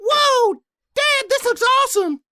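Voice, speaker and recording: Very high-pitched little boy. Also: expressive, unhurried, background noise. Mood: wide-eyed, excited. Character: bored, wide-eyed young boy in comic ad. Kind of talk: cartoonish comedy ad skit.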